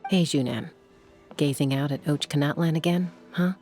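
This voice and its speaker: A woman playing a cool, frank young sky rider in an English game dub, measured and assured. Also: low voice, darkish tone, faint music behind. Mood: encouraging.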